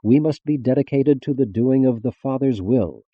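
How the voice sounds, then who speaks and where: A man reading a religious text as a formal scripted narration, measured and carefully enunciated. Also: mid-range voice, no room sound, lo-fi sound.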